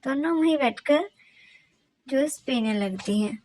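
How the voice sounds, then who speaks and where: A woman reading scripted storybook narration for an animated cartoon. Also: sits high, a little slow, no echo.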